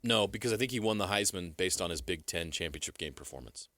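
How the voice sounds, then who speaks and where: A man's voice: low voice, expressive, fast, pushed and bright. An American man co-hosting sports talk radio, talking casually and emphatically on a studio microphone. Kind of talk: casual sports debate banter. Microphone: close studio radio microphone.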